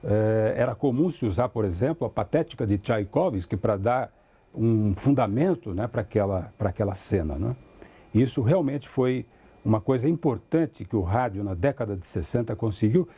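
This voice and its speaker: A man's voice: fairly low pitch, lively intonation, normal speed, warm tone, breathy edge. A man with a Brazilian accent reminiscing in an interview, choosing formal, articulate wording and often adding little tag questions. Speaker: documentary interviewee.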